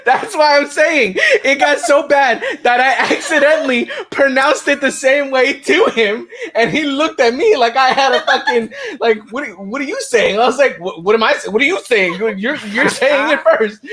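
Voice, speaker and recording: A young man hosting a live stream, telling a story in casual chat, laughing often. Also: extremely high pitch. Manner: laughs a lot, quick and animated.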